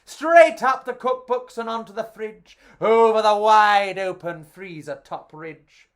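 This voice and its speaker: A theatrical, expressive storyteller reading to children in an animated storytime style, woman's voice by pitch. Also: unhurried.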